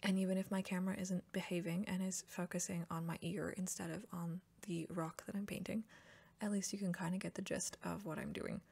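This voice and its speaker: A young woman talking softly, often dropping into whispers, her voice still scratchy from a recent cough, chatting in a relaxed way. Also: lowish voice, fairly quick, vocal fry, breathy edge. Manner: relaxed and chatty, with soft laughs. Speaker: ASMR artist painting while chatting. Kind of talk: soft-spoken ASMR art chat, sliding into whispers.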